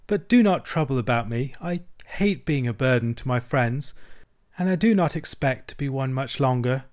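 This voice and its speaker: A man's voice: medium pitch, expressive, normal speed, darkish tone, natural voice. A languid, self-pitying toad from a storybook, acted by a man. He murmurs feebly and draws out his words like a martyr on his deathbed. The voice is weak and sorry for itself. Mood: feeble, martyred. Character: languid, self-pitying male toad feigning mortal illness. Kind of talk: storybook character acting.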